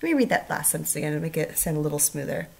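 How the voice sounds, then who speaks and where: Woman, first grade teacher, children's read-aloud, expressive, questioning, highly varied pitch. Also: racing, vocal fry. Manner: expressive, asking the listener questions.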